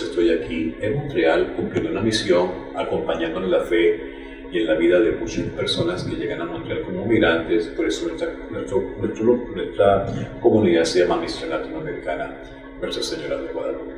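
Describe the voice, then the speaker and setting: A priest speaking calmly and reflectively about his mission. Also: low voice, heavy reverb, distant mic, poor audio. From Venezuela. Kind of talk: casual chat.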